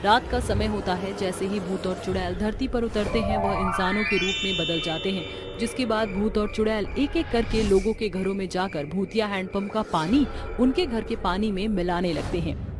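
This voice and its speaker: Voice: mid-range voice, moderate pace, loud music behind the voice. A narrator reading a scripted story.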